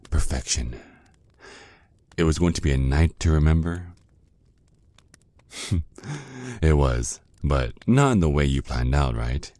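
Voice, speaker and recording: ASMR roleplay casting: jealous male vampire ex, acted by a man, extremely low dark voice, slow seductive taunts. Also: no room sound. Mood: taunting, playful. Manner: slow, teasing, drawn-out menacing murmur.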